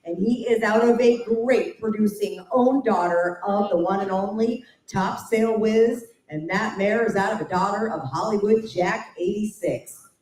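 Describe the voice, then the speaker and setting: A woman's voice: mid-range voice, measured pace, hardly any pauses, a little mellow. An American woman working as a horse auction announcer, presenting each lot and reading out pedigrees. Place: large indoor livestock sale barn. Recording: echoey auction barn PA, distant.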